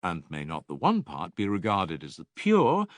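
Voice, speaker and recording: An actor playing an older philosopher in an audiobook dialogue, a patient, probing teacher with measured, rhetorical and emphatic delivery. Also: male voice, sits low, wildly swooping pitch, dry recording, clean studio sound. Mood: explanatory.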